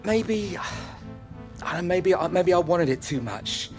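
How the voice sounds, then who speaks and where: A middle-aged football agent in a cinematic game, desperate and past his glory. His fairly high voice moves widely in pitch, halting and repeating itself over prominent music. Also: expressive, a little mellow. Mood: regretful. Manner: halting, pleading, repeats words. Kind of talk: cinematic video game acting.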